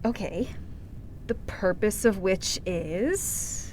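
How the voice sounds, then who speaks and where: A woman's voice: medium pitch, very slow, a little mellow, a little hiss or hum. A woman playing an earnest, flustered good-cop reporter with a hesitant, exasperated manner in a fiction podcast. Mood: probing. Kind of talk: audio fiction podcast acting.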